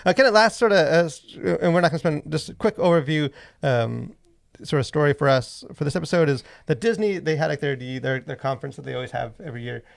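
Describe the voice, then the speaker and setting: A man's voice: fairly high pitch, fast talker, gravelly, dry recording, clean studio sound. A man co-hosting a podcast, chatting casually about pop culture in an informal, rambling, filler-heavy way. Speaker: podcast host.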